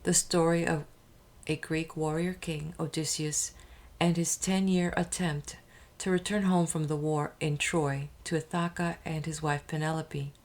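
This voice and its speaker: Low-voiced woman musing thoughtfully. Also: level tone, unhurried, darkish tone. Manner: thoughtful, musing, with hesitations and restarts. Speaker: audiobook channel host. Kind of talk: casual spoken introduction.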